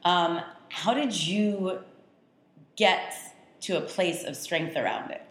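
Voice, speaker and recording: Woman hosting a podcast, lowish voice, frank and lively, echoey room. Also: takes it slowly. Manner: frank, animated storytelling. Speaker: podcast host. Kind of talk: interviewing on a podcast. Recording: roomy echo.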